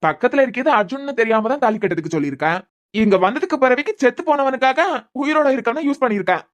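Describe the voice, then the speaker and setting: Woman playing a husband, young, bitter, sarcastic, fast-talking, repeats himself in anger. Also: a little high, expressive, close to an everyday voice. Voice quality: sarcastic, bitter, repeating words when angry. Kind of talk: amateur audio drama.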